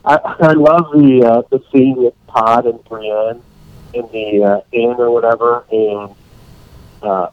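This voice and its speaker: Male podcast host, mid-pitch, rambling casual recap, dry and slightly dull lo-fi sound. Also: steady tone, no echo. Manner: rambling, acts out scenes in quick put-on voices.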